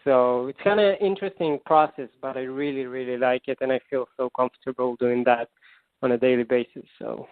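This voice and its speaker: A man from Israel with an Israeli accent, a singer-songwriter answering interview questions in halting English with many fillers and restarts; Hebrew is his first language. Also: mid-range voice, fairly even intonation, clear, resonant tone, no room sound. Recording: tinny phone line, dry.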